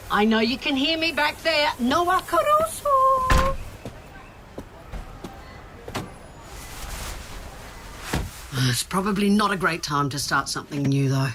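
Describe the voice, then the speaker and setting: A woman playing a harried, middle-aged mother in an audio drama, nagging her grown son and protective of her family, with brisk scolding delivery. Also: mid-range voice, very animated, noisy background. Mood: prying. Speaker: restaurant owner.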